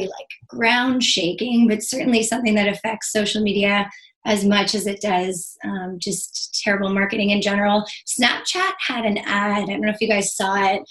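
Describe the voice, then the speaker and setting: A woman's voice: medium pitch, fairly bright tone, low-quality recording. A woman guest on a podcast, talking through examples in an easygoing, conversational way. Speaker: podcast guest, PR account executive. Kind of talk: casual podcast discussion.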